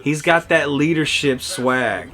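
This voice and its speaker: A man's voice: on the high side, lively intonation, takes it slowly, pushed and bright. A middle-aged man hosting a casual, personal game review, talking in a nostalgic, enthusiastic storytelling way. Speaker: video game reviewer and host. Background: music under the voice.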